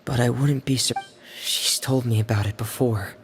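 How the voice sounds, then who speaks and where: A man voicing a quiet, hesitant, gloomy young man in an anime game, in a subdued manner over faint ambience. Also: medium pitch, steady tone, normal speed, a little hiss or hum, lightly acted. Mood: hesitant, troubled.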